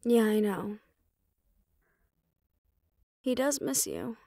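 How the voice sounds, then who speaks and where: A woman's voice: a little high, wildly swooping pitch, very slow, gravelly, warm tone, dry recording, studio quality, heavily acted. A woman playing a defiant, loyal young banshee daughter in an audio roleplay, sarcastic and casual. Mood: guarded, wry.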